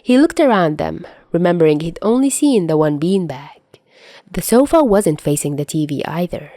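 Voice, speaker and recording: A woman's voice: on the low side, animated, normal speed, dark tone, no room sound, studio quality. A woman reading a scripted piece of fiction as its narrator.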